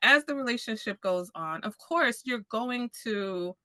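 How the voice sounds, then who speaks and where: A woman in a casual chat, talking in an animated way and often checking in with "you know what I'm saying?". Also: on the high side, unhurried, dry recording.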